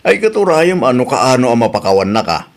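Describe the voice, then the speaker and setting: A man's voice: mid-range voice, sing-song, fast. A man as a swooping, exasperated Ilocano friend. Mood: exasperated, mocking. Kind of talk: Ilocano comedy radio drama acting.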